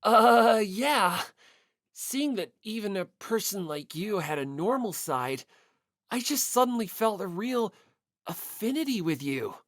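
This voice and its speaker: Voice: mid-range voice, big pitch swings, measured pace, a bit bright, no echo, clean studio sound. A narrator voicing a timid, optimistic, apologetic teenage schoolboy in a manga audiobook, hesitant and eager. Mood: flustered.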